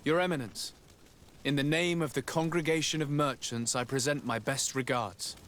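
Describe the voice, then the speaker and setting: A man's voice: fairly high pitch, fairly even intonation, moderate pace, fairly bright tone, lightly acted. Composed young noble diplomat, acted by a man. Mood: courteous, composed. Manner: polite, formal, articulate.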